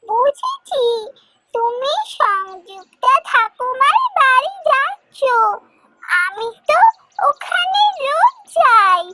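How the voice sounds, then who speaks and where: A woman's voice: very high voice, very noisy, heavily acted. A woman voicing a sweet, pleading little girl in a children's fairy tale cartoon.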